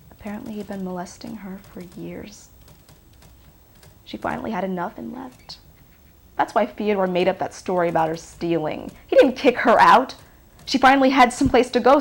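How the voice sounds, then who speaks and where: Blunt, defiant woman with a gravelly edge. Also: mid-range voice, moderate pace, pauses often. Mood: insinuating. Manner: blunt, sardonic, challenging. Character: defiant, bitter ex-girlfriend questioned by police. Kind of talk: naturalistic film acting. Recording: faint background noise.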